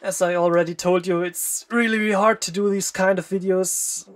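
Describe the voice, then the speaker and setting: A music producer from Germany, a native German speaker with a German accent, talks through a screen-recorded walkthrough in a hesitant, halting way with many fillers and short pauses. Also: medium pitch, fairly even intonation.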